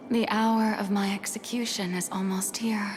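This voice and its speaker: A female actor as a wistful fantasy game goddess over prominent music. She speaks slowly and softly, often breathy, with gentle, resigned theatricality. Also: mid-range voice, close to an everyday voice. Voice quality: soft, breathy, often near a whisper. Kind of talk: dramatic video game voice acting.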